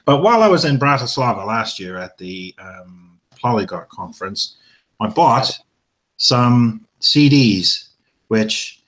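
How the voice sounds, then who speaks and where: Man, low voice, casual co-host chat, hesitant, lots of fillers, self-mocking. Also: a bit bright, rough recording. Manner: rambling, hesitant, self-deprecating, many ums. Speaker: podcast co-host.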